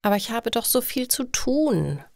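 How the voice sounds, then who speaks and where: A woman's voice: on the high side, sing-song, normal speed, vocal fry, dry recording, clean studio sound, pitched above the performer's own voice. A woman voicing a chatty woman who frets over her household chores in a story. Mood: friendly, chatty.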